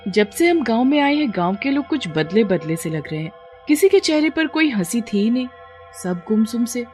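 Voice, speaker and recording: Polite young Indian wife, acted by a narrator woman, raised pitch, gentle and concerned, for a village horror tale. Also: big pitch swings, moderate pace, soft background music. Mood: puzzled.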